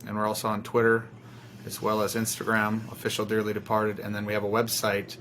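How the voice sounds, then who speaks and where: A man's voice: lowish voice, monotone, brisk, fairly bright tone, a little hiss or hum, rough recording. A man speaks as a musician in an interview. His talk is casual and conversational, the informal answer of a band member to a host.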